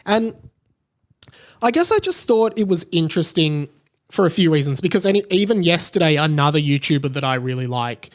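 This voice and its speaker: Higher-voiced man, casual podcast host. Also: big pitch swings, brisk. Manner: conversational, thinking aloud, emphatic repetition. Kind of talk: casual solo podcast commentary.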